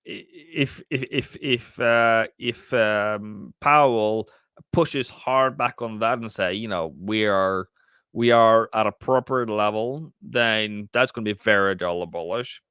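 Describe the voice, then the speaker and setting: Norwegian guy on a trading stream, lowish voice, clean and smooth, stops and restarts a lot. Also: slow, bright, forceful tone, no echo, clean studio sound. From Norway. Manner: thinking aloud, many restarts and fillers, reads chat. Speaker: trading livestream host. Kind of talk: casual livestream commentary.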